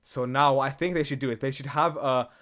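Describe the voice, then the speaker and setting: A man's voice: mid-range voice, steady tone, a little fast, a bit bright. A man hosting a casual gaming podcast, talking emphatically with some swearing and frequent checking questions. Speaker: podcast host.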